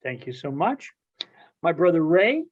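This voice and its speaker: A woman's voice: low voice, big pitch swings, unhurried. A woman hosting an online play reading, introducing participants and scenes in a courteous, theatrical manner over dull call audio.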